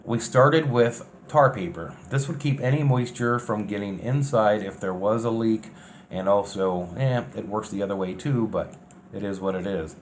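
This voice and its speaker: A man's voice: on the low side, moderate pace. A man giving casual, unscripted narration over DIY build footage in a folksy, colloquial way. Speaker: vlogger recapping a DIY bus conversion. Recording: clear steady background noise, ambience.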